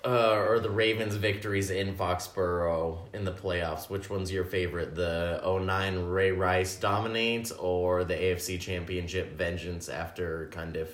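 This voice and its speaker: A man's voice: low voice, steady tone, unhurried, few pauses. A man in casual drunken podcast chat, rambling and laughing as he tells a story. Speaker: podcast guest. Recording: echoey room.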